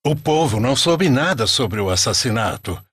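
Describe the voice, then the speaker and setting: A man narrating a scripted religious story for an animated film. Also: medium pitch, normal speed, breathy edge, dry recording, studio quality.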